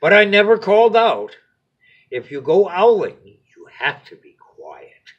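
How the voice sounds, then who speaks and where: A man reads a children's picture book aloud as a bedtime story, expressively, with dramatic pauses and phrases that drop to a hush. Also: high voice, sing-song, slightly rough, lo-fi sound.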